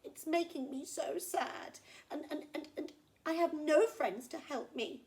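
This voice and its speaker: A woman's voice: high voice, unhurried, a little mellow, pitched above the performer's own voice, poor audio. A woman voicing an emotional, repentant crocodile in a children's story, pleading and stammering as it exclaims. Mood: woeful whining.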